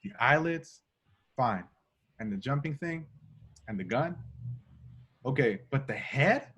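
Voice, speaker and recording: A man's voice: medium pitch, expressive, slow, a bit bright, husky, muffled recording, heavily acted. A man playing a young streetwise cop, bewildered but insistent, in an amateur table read of a film script. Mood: dazed.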